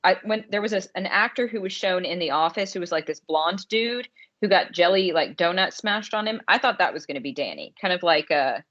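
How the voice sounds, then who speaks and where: Woman podcaster w a lower voice, chatty n slangy, thinking out loud, kinda muffled lo-fi audio. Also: lively intonation, fairly quick. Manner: informal, slangy, thinking aloud. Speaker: podcast co-host reviewing a film. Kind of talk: casual conversational discussion. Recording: slightly dull, lo-fi podcast audio.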